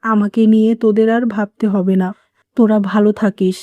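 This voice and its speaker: A woman's voice: mid-range voice, level tone, moderate pace, warm tone, no room sound, highs cut off, lightly acted. A woman playing a remorseful, wounded elderly mother-in-law in a cartoon moral story, speaking in a plaintive, self-blaming way. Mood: sorrowful, resigned.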